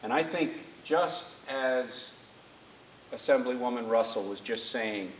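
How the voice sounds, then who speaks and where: A man in his fifties from northern New York, a candidate introducing himself in a measured campaign speech. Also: mid-range voice, animated, slight background noise. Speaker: congressional candidate. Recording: echoey hall, voice from a distance.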